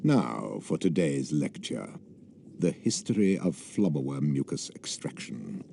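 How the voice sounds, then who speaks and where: An actor with a British accent playing a stern, condescending teacher of potions at a wizard school in a video game, speaking slowly and deliberately. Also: male voice, a bass voice, big pitch swings, vocal fry, warm tone, slight background noise, full character voice. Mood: cold lecturing. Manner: slow, deliberate, condescending delivery.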